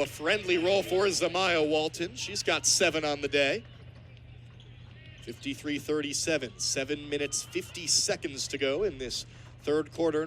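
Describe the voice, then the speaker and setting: A man's voice: on the high side, pushed and bright. A man in his twenties calling live basketball play-by-play on the radio. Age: 20s.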